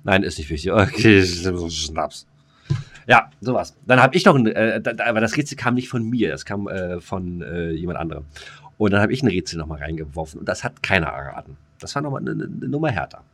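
A man with a fairly low voice chats as a podcast co-host. He talks loosely and colloquially, full of slang, teasing his conversation partner in an informal way. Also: animated, quick pace, a bit bright. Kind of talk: casual chat.

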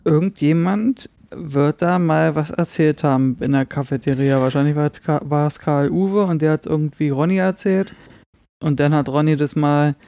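Male podcast co-host, slightly higher voice, soft mellow tone, dry sound, jokey rambling chat. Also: normal speed, dry recording. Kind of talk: casual banter podcast chat.

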